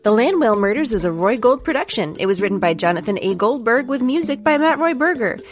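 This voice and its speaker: A woman's voice: a little high, very animated, fast talker, soft background music. A woman announcer reading scripted show credits with dry humour.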